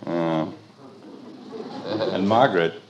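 A man's voice: low-pitched, takes it slowly, darkish tone, dull audio. An actor playing an exasperated, sarcastic middle-aged family father in a mid-century radio comedy, grumbling drily. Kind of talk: 1940s-50s radio sitcom acting. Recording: old radio recording with noise.

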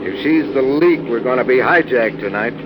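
An actor playing a cool, take-charge American trucker in old radio drama style, clipped and wry. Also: male voice, mid-range voice, moderate pace, close to an everyday voice. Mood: businesslike. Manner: clipped, matter-of-fact, wry. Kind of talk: 1940s-50s radio drama acting. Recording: noisy vintage radio recording.

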